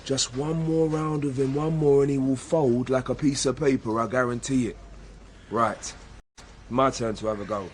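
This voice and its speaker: An actor playing a confident, hard-nosed police detective in a radio drama, speaking briskly. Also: male voice, medium pitch, fairly even intonation, slight background noise, lightly acted. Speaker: police detective, interrogating partner.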